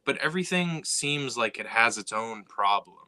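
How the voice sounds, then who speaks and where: A man's voice: mid-range voice, expressive, moderate pace, bright, forceful tone, no echo. A man talking casually and thoughtfully about storytelling, reflecting aloud with frequent "you know" and "like". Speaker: writer and co-host. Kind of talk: casual podcast chat.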